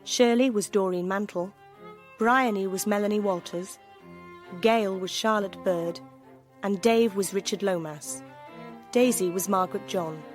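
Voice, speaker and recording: A woman's voice: mid-range voice, a little slow. A woman reading scripted credits as a radio drama announcer. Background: string music.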